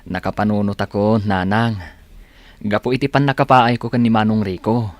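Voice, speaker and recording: An actor playing a respectful, determined young adult son, with a Filipino accent in radio drama style. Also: male voice, on the low side, steady tone, moderate pace, no room sound, close to an everyday voice. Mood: hopeful. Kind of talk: Ilocano radio drama acting. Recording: loud music bed under dialogue. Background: loud background music.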